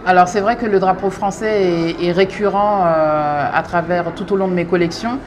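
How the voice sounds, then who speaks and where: A woman in her thirties from France, a clothing brand founder, talking through her story thoughtfully with many pauses and restarts. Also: on the low side, steady tone, background noise. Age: 30s. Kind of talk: interview monologue.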